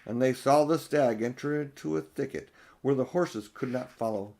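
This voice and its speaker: A man's voice: mid-range voice, normal speed, creaky, warm tone. Older man reading a storybook aloud. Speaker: audiobook reader. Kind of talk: storybook read-aloud with casual asides.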